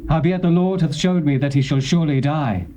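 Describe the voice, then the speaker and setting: A grave prophet voiced by a male actor in a radio drama. His tone is mellow and slightly raised, and it is heard from a distance over faint tape hiss. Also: fairly high pitch, fairly quick, natural voice.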